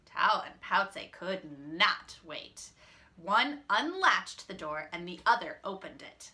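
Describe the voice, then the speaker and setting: A woman reading a picture book aloud as a teacher, expressive and explaining in simple words for children. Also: mid-range voice, slow.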